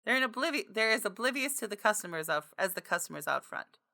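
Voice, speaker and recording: A woman reading a visual novel game aloud in an expressive, theatrical storytelling style, with casual asides. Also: medium pitch, fast, vocal fry.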